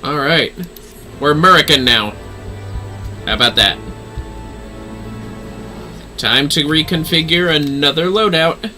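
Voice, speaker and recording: A man's voice: a little high, slow, long pauses, pushed and bright, faint music behind. A man livestreaming a video game with casual, offhand commentary and sweary joking asides.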